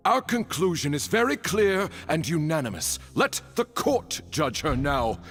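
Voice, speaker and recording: A man's voice: on the high side, big pitch swings, unhurried, a bit bright. An actor playing a grandiloquent, insistent male accuser who speaks for a crowd with false courtesy in a dramatic video game. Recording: long echoing reverb under music.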